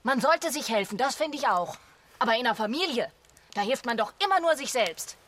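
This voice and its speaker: An earnest woman in a family radio drama. Her voice is high and husky. She speaks bluntly, challenging others on moral grounds. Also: expressive, brisk, fairly bright tone.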